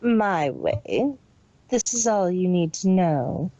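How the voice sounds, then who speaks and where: A woman's voice: on the low side, lively intonation, slow pace, warm tone, highs cut off. A woman voicing a cold, detached, imperious female pony villain boss in an amateur story reading. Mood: cold, commanding. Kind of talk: amateur fan fiction audio reading.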